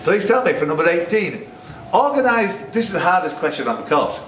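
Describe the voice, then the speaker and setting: Guy hosting a quiz, reads questions out, jokes a bit, roomy echo and clear noise. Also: high-pitched, sing-song, fairly quick, fairly bright tone. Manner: jokey asides between questions. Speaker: quiz host and question setter. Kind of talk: reading out quiz questions to players. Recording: noisy, echoey room recording.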